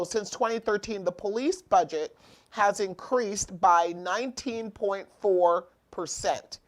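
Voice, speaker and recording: A woman hosting a talk show, reading budget figures aloud and stumbling over and correcting her words. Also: mid-range voice.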